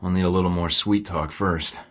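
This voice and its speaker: A man's voice: deep voice, steady tone, moderate pace, a bit bright. A man voicing a wry young secret agent who stays cool under pressure and talks in a dry, sarcastic, clipped way, recorded as video game voice lines. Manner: dry, sarcastic, clipped radio-style field talk.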